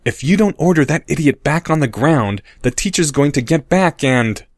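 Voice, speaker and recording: A man's voice: on the high side, animated, normal speed, dry recording, studio quality, voice pushed up. A man voicing a clever, earnest schoolboy wizard who raises his voice to take charge. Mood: urgent. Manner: earnest, rising to loud calls.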